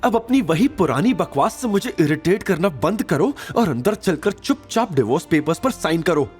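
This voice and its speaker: A male narrator acts out a haughty young woman in a rage. The voice is pitched far up and swoops with scornful impatience, in audio drama style over faint music. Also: very animated, normal speed, darkish tone. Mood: furious.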